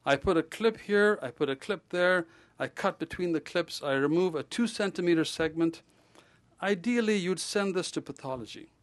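A man voicing a blunt, jovial male surgeon who tells stories in a lively, teasing and theatrical way. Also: sits high, normal speed, voice pushed up. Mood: matter-of-fact.

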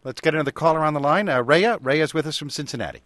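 A man's voice: fairly high pitch, fast talker, a bit bright, dry recording. A man hosting a call-in radio show, easygoing and wry as he takes callers. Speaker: radio host.

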